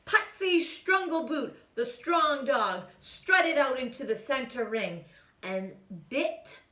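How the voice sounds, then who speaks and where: A woman reading a children's picture book aloud in a theatrical way, with hushed asides and exclamations. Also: sits high, expressive, slow, echoey room. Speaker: children's storytime reader.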